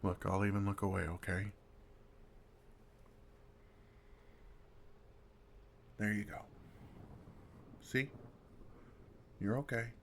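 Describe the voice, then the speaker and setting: A man playing a kind, wry older vampire who comforts a fledgling in a soft-spoken, reassuring ASMR roleplay, gently teasing with pauses. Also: fairly low pitch, vocal fry, slight background noise. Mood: gentle reassurance. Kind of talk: intimate ASMR roleplay, second-person comforting.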